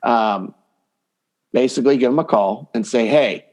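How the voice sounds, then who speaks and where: A man's voice: mid-range voice, moderate pace, darkish tone. Male dating coach in conversation. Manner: explains through acted-out hypothetical quotes. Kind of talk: conversational podcast interview.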